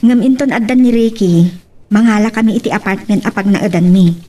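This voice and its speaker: A woman's voice: mid-range voice, brisk, dark tone, distant mic, natural voice. A woman with a Filipino accent playing a polite, dutiful young working wife in an audio drama. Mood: polite, reassuring. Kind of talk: Ilocano radio-style drama acting.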